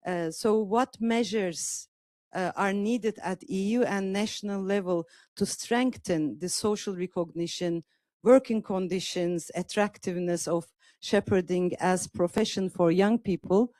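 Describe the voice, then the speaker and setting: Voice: medium pitch, unhurried, darkish tone, no echo. A speaker from Turkey, asking questions at a panel debate, hesitant with many fillers. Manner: hesitant, many fillers, non-native phrasing. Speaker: audience member asking questions.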